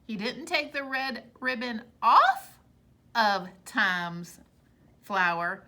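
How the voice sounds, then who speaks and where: Bright-voiced woman reading to children. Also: sits high. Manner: animated, pausing to explain to young listeners. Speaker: teacher reading aloud. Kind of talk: expressive storybook read-aloud for children.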